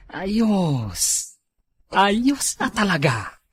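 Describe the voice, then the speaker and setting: Guy playing lazy ilocano husband in village comedy, rough husky voice, gets excited, pitch jumps around. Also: full character voice. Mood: grumbling, wheedling. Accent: Ilocano, northern Philippines. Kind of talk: comedy drama acting.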